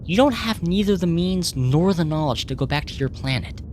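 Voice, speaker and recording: A woman's voice: lively intonation, normal speed, a little mellow, natural voice. A woman playing a curious, earnest alien researcher in an ASMR roleplay, speaking formally and clinically, puzzled by human feelings. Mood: exasperated. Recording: noisy with low rumble.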